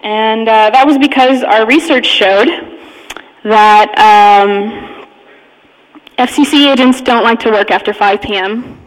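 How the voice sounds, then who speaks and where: A woman giving an informal talk, telling a personal story in a halting, amused manner. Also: a little high, fairly even intonation. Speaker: conference speaker, radio hacker community member. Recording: echoey hall with long reverb.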